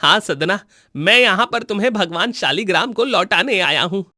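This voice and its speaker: Devout, anxious-to-please priest, high voice, formal reverent delivery for animated fable. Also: male voice, normal speed, no echo, studio quality, heavily acted. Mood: earnest.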